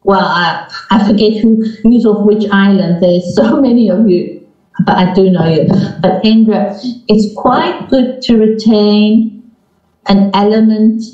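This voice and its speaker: Older woman, slow and mellow, chatty group host, video call, distant and roomy sound. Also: mid-range voice. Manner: chatty, encouraging, interrupts gently. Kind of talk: casual video call chat. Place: small indoor room. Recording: echoey, distant, dull video call audio.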